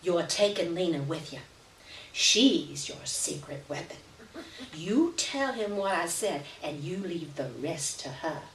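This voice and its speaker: A woman's voice: lowish voice, lively intonation, slow pace. A woman voicing a fierce, commanding working-class mother who threatens and gives emphatic orders in a live story reading. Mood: menacing. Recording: roomy, distant live reading with background noise.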